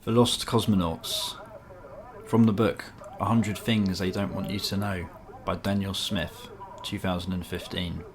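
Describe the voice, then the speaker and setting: A man's voice: low-pitched, steady tone, unhurried, a crowd in the background. A man reading a scripted nonfiction piece as a documentary-style narrator.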